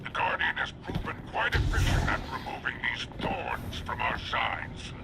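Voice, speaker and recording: A haughty alien crime boss from a video game, dry and businesslike. The voice is thick with gravel and swings widely in pitch. Clear game noise sits behind it. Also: male voice, big pitch swings, unhurried. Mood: dry, irritated. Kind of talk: video game cutscene acting.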